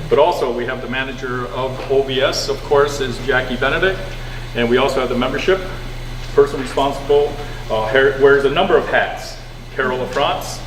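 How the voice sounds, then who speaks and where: A man's voice: mid-range voice, fairly quick. A man hosting a community meeting, introducing speakers in a casual, joking way. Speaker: meeting host. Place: community recreation hall. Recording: distant, echoey hall recording with background hum.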